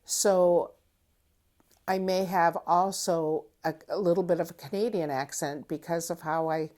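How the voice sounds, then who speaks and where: An older woman in her seventies speaks with a New England accent, her first language French. Her pitch sits on the low side and she takes her time, pausing and thinking between phrases. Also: steady tone, a little mellow. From New England, USA. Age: 70s. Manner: unhurried, thoughtful, with pauses and fillers. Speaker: interviewee. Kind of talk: reading aloud then answering interview questions.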